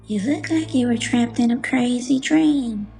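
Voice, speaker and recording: A woman's voice: fairly high pitch, unhurried, vocal fry, a little mellow, faint music behind. A woman playing someone who rouses a sleeper from a bad dream in a scripted audio drama. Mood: gentle concern.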